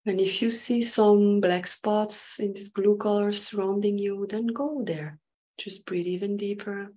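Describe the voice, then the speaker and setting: A woman leading an unscripted guided meditation in short halting phrases, with a breathy voice that sometimes nears a whisper. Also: mid-range voice, fairly even intonation, mellow.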